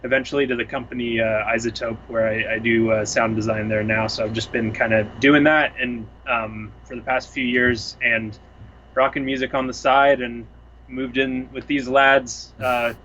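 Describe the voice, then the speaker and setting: Bright-voiced young man, rambling chat. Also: medium pitch, level tone, normal speed, highs cut off, a little hiss or hum. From Seattle area, US Pacific Northwest. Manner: rambling, thoughtful, full of fillers. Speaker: guest, music producer. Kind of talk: casual chat. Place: home, on a couch.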